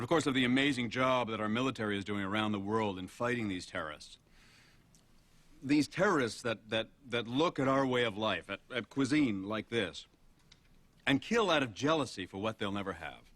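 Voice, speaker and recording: An actor playing a folksy, self-important, flag-waving American TV host, rambling and sanctimonious in a film drama. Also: male voice, mid-range voice, animated, normal speed, a bit bright, close to an everyday voice. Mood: pious, patriotic.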